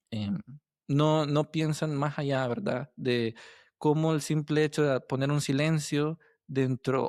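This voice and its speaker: A man hosting a casual podcast interview, halting as he searches for words and often checking with tag questions. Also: medium pitch, a little mellow, dry recording, clean studio sound.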